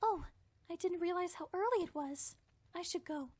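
A sweet, shy young pony girl for a fan cartoon. The voice is extremely high and soft, polite and hesitant, recorded very dry. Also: normal speed, no echo. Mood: timid. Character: timid, gentle, sweet young female pony. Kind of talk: fan animation voice acting.

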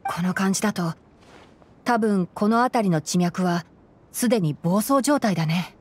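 A woman voicing a gentle young male forest ranger and scholar in a Japanese anime game, measured and explanatory. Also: medium pitch, slight background noise, natural voice. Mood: analytical. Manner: measured, explanatory, soft-spoken.

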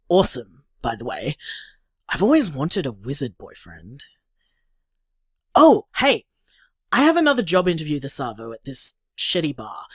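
Woman acting upbeat young Australian partner, slightly low pitch, lively intonation, breezy, emphatic, teasing, audio drama. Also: no room sound, studio quality. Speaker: podcast drama actor. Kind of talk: naturalistic audio drama, voicemail monologue.

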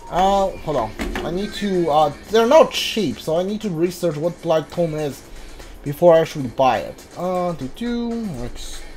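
A man casually commentating on his video game playthrough, haltingly thinking aloud and mumbling as he reads. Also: a little high, lively intonation, darkish tone.

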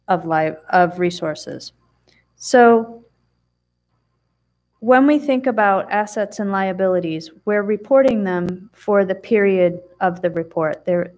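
Woman, middle-aged, explaining budget numbers, casual asides, um-filled, council meeting room. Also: on the low side. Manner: plain explaining, chatty asides, frequent "um" and restarts. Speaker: town finance officer presenting audit. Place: council chamber, seated at table.

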